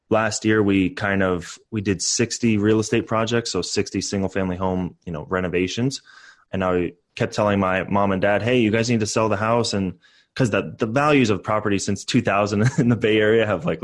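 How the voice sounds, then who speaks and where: A young man in his twenties, a real estate investor guest, talking casually and upbeat with many fillers. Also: fairly low pitch. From born in Canada, raised in the US. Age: 20s. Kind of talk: casual podcast interview.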